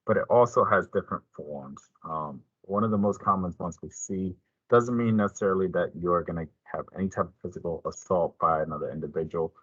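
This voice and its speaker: A man's voice: low-pitched, a little fast, fairly bright tone, breathy edge, no room sound, lo-fi sound. A man giving an informational presentation as a police detective, explaining things in a plain, informal way.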